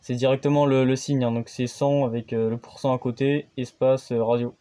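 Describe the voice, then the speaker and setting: A man's voice: medium pitch, flat delivery, fairly quick, mellow. A man hosting his own amateur radio channel, explaining things casually and hesitantly, with many fillers and restarts.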